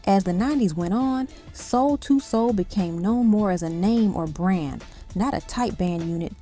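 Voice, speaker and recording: Mellow woman narrating a music countdown. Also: mid-range voice, lively intonation, normal speed. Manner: evocative storytelling in short dramatic phrases.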